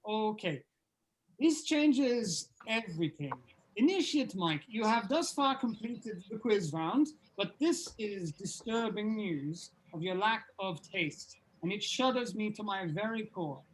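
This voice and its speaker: A performer in a comedy table read playing a pompous, grandiose quizmaster of a heavy metal band, with deadpan formality. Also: mid-range voice, measured pace, slight background noise, lightly acted, poor audio.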